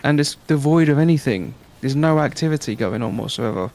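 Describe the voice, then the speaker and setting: Fast-talking, gravelly man on a game stream. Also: medium pitch, animated, darkish tone. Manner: blunt, sweary. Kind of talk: casual gaming livestream commentary.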